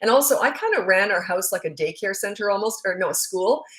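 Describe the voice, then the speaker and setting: A woman's voice: mid-range voice, expressive, fast talker, fairly bright tone. Canadian lady parenting coach chatting live, frank and kinda rambly. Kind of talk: live Q&A answering viewer comments.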